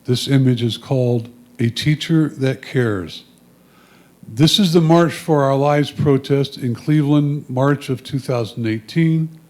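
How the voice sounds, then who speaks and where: A man's voice: mid-range voice, unhurried, a little mellow. A man reading photo descriptions aloud in a plain, matter-of-fact way. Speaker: reader of photo captions at a photo club presentation.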